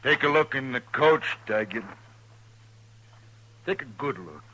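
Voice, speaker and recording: An American actor playing an angry, blunt, accusing stagecoach driver in a 1950s radio Western. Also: male voice, medium pitch, animated, a little slow, pushed and bright, lo-fi sound. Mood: bitter, accusing. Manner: blunt, insistent. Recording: noisy 1950s radio recording.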